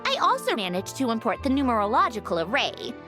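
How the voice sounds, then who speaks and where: A woman voicing a young female automaton in a video game, speaking in a measured, explanatory, slightly sardonic way. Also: fairly high pitch, huge pitch swings. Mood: wry. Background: game soundtrack music.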